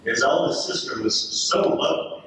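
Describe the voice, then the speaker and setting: Man playing earnest British soldier, stage reading, medium pitch, sharp tone, distant echoey pickup, slight noise. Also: moderate pace, lightly acted. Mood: storytelling. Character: British soldier earnestly narrating a wartime letter. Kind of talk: readers theater recitation. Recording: very echoey room, distant mic, faint noise.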